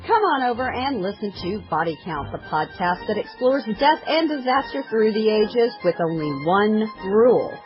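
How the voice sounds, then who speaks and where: Animated American woman in an irreverent promo. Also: medium pitch, moderate pace, few pauses, highs cut off. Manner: irreverent, theatrical delivery. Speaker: podcast promo presenter. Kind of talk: scripted comedic promo read. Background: faint music.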